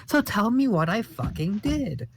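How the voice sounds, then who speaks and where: A man's voice: high voice, sing-song, a little slow, creaky, noisy background, full character voice. A man voicing a cheerful, naive teenage boy who asks eager, pleading questions. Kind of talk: comic read-along voice acting.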